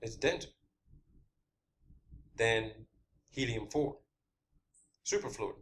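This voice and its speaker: A man's voice: mid-range voice, expressive, takes it slowly, slight background noise. A man giving an informal, unscripted explanation, stressing his points emphatically by repeating phrases. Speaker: amateur science theorist presenting own hypothesis.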